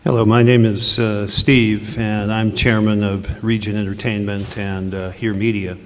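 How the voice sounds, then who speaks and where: A man who is a company chairman, speaking on a panel in a measured, matter-of-fact way. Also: lowish voice, level tone, a bit bright. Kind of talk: conference panel talk. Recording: reverberant conference hall.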